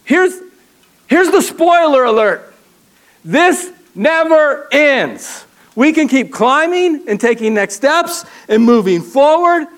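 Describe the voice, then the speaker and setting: A man preaching a sermon from a church stage, animated and full of rhetorical questions and repeated phrases. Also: very high-pitched, slow pace. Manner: animated, acts out people's inner voices. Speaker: pastor. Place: church stage with a ladder prop.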